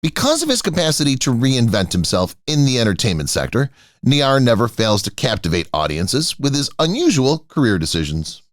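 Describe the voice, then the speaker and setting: Male voice-over narrator, very animated intonation, dry close recording. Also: medium pitch, brisk, dry recording. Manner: announces each name as a raised heading. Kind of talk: scripted celebrity narration.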